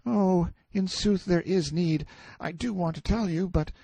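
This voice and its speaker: A man's voice: on the high side, wildly swooping pitch, takes it slowly, dark tone, husky, no echo, pitched above the performer's own voice, heavily acted. A man voicing a timid young medieval page boy who speaks in archaic, courtly exclamations in an audiobook story. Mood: anxious, hesitant. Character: timid, light-hearted medieval page boy, superstitious and easily frightened.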